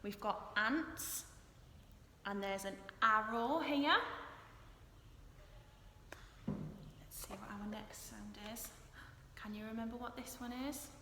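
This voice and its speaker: A woman teacher reading a storybook and teaching phonics to young children, deliberate and instructional, often questioning. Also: a little high. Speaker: early years teacher. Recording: echoey hall-like room with noise.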